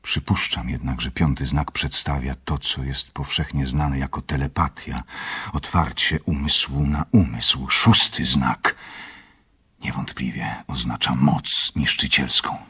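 An actor playing a scholarly, secretive doctor obsessed with power in a radio drama, speaking in a measured, clinical way. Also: male voice, rumbling low, steady tone, pushed and bright, slightly rough, exaggerated voice. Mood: analytical. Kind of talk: Polish radio drama acting.

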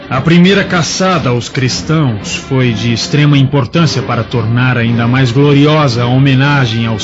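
An actor playing a cautious, formal Roman officer with a Brazilian accent in a radio play. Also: male voice, medium pitch, lightly acted. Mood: smug, approving. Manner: formal, deliberate, authoritative. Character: cautious, calculating Roman commander, male officer. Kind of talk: dramatized audiobook radio play in Portuguese. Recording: dull lo-fi audio, music behind.

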